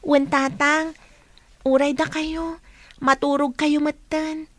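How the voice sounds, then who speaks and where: A woman's voice: sits high, level tone, takes it slowly. A woman playing a dutiful, grateful teenage daughter in a radio drama, speaking earnestly and tenderly. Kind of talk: Ilocano radio drama acting.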